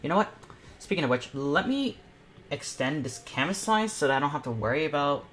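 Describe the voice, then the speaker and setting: A man's voice: on the high side, animated, brisk, fairly bright tone. A young man hosting an online art panel, chatting casually and thinking aloud as he draws. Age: teens or young adult. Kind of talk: casual chat while drawing. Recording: clear background noise, room ambience.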